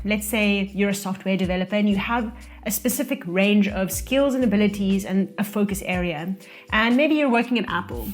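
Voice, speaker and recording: A young South African woman talking casually to camera, giving career advice from her own experience. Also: mid-range voice. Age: young adult. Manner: relaxed, conversational, speaks from own experience. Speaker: vlogger sharing career advice. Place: indoor room, losing daylight.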